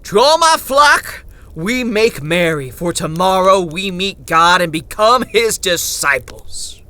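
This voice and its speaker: A man voicing a zealous frontier preacher in an audio drama, shouting grand proclamations to his flock. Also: high voice, very animated, slow, dry recording, pitched above the performer's own voice, full character voice. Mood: exultant, commanding.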